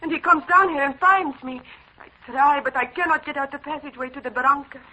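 Frightened young woman, spanish accent, high bright voice, old radio drama. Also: fast. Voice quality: stage Spanish accent, broken English. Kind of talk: 1940s radio acting. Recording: dull lo-fi old radio broadcast.

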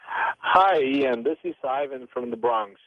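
A man's voice: mid-range voice, slow pace, no room sound. A young man whose native tongue is Bulgarian, calling into a radio show and talking earnestly and hesitantly, with many ums. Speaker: caller. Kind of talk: phone-in call to a radio show. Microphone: telephone. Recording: tinny phone line.

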